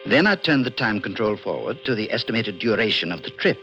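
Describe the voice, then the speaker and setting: An actor in an old radio drama playing a polite, serious alien visitor who speaks in a measured, precise, mildly condescending way. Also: male voice, fairly low pitch, very animated, pushed and bright, exaggerated voice. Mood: patient, explaining. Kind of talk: 1940s-50s radio drama acting. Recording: muffled vintage radio recording, faint music.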